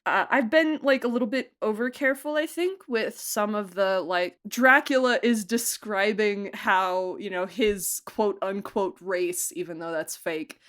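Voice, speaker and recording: A woman producer and director chatting casually in an interview, talking in an informal, emphatic and animated way. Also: mid-range voice, measured pace, few pauses.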